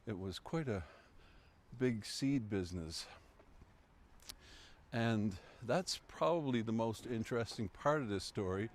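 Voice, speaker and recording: Slow-talking man with faint hiss behind. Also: medium pitch. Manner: chatty, amused, fillers and asides. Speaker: co-host of a local history stream. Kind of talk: casual chat.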